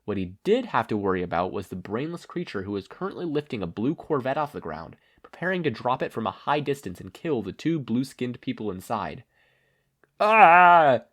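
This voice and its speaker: Animated man narrating a story. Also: mid-range voice. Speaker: fan fiction reader. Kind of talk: expressive scripted story narration.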